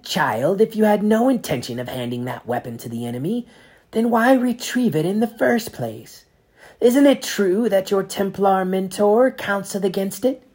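A parent reading aloud voices a cold, sneering male grand inquisitor with a raised, forceful delivery. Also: mid-range voice, expressive, normal speed, breathy edge, pitched above the performer's own voice. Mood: accusing. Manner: clipped, sarcastic, accusing.

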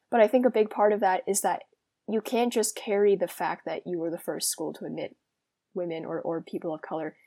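Young female student co-host, low-ish warm voice, reflective and hedging. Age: young adult. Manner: thoughtful, hedging with "like" and "I think". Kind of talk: casual interview conversation.

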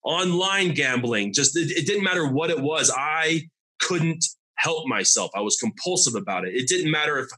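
A man in his thirties from Arizona, telling his recovery testimony as a podcast guest. He talks haltingly and often repeats and restarts his words. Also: a little high, lively intonation, sharp tone, breathy edge. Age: 30s.

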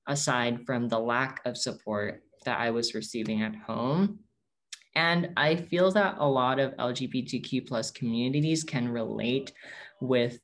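A young man whose first language is Tagalog, answering interview questions as an activist in an earnest, reflective way and often correcting himself mid-sentence. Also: medium pitch.

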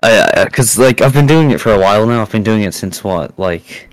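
A man's voice: on the low side, lively intonation, brisk, dry recording, poor audio. A young man chatting casually as a podcast guest, in a self-deprecating way. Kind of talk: casual chat.

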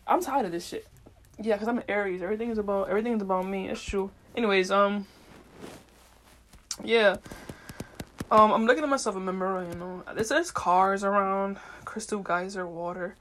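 A woman hosts a solo podcast in a loose, casual way. She speaks in slang and swears freely. Her talk is unfiltered and wanders as she thinks out loud. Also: mid-range voice, a little fast, rough recording. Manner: meandering, thinking aloud. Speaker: podcast host. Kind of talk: casual rambling monologue.

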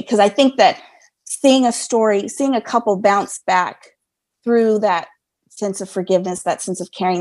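A woman with a mid-range voice talks as an interview guest. She is earnest and conversational, stressing her points by building up repeated phrases. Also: mid-range voice. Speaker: podcast guest, fan fiction writer.

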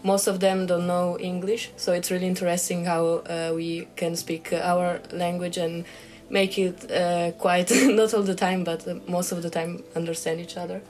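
A young woman with a rather low, very flat voice. She speaks hesitantly, dropping in many "uh"s. Faint music plays behind her. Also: normal speed. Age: young adult. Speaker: master's student. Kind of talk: casual self-introduction.

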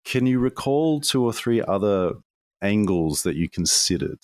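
A man hosting a podcast interview, asking measured, reflective questions. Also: on the low side, lively intonation, darkish tone, no echo, studio quality.

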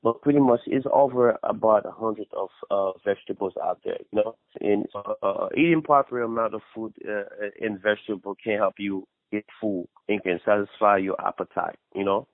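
Male fitness trainer, Haitian accent, slightly low pitch, conversational and repetitive, heard over a narrow telephone-style radio line. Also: normal speed, hardly any pauses, no room sound. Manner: repeats phrases, often tags with "you know". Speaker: personal trainer, interview guest. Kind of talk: casual interview, giving fitness advice. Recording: tinny phone-like radio line.

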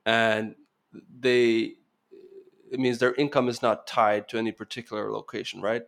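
A man's voice: mid-range voice, level tone, unhurried, creaky, fairly bright tone. A man speaking as a podcast guest, explaining his views in casual talk with lots of fillers and restarts. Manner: earnest, explanatory, uses many fillers and restarts.

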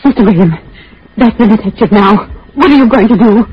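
A woman's voice: medium pitch, a little fast, breathy edge. An actress playing a devoted, anxious woman in an old-time radio drama, speaking with earnest, pleading emotion. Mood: earnest, urgent. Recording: muffled, hissy vintage radio recording.

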